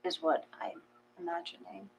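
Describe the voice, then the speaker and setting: A woman in a casual, reflective discussion, explaining gently and a little tentatively. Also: lowish voice, big pitch swings, normal speed, vocal fry, breathy edge, low-quality recording. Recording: faint background hum.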